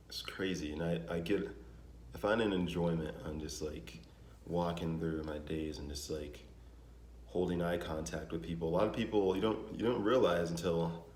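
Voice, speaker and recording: A young man with a naturally deep voice, talking casually to his vlog audience in a relaxed, rambling way with many fillers. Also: slight background noise. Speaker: vlogger. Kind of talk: casual vlog talk to camera. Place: home in Denver. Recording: echoey room with faint ambience.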